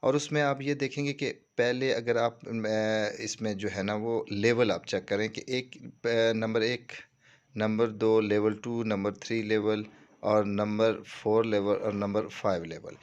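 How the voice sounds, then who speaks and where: A man's voice: lowish voice, level tone, unhurried, smooth, highs cut off. A man with a Pakistani accent giving an informal tutorial walkthrough, explaining things step by step and often checking that the listener follows. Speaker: tutorial presenter.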